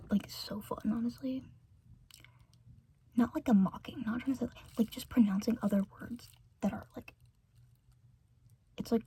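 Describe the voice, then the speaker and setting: A woman's voice: fairly high pitch, a little hiss or hum. An American woman whispering through a rambling, filler-filled ASMR chat, trailing off and giggling between thoughts. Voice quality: soft unvoiced whisper. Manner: meandering, filler-heavy, giggly chatter. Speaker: ASMR creator.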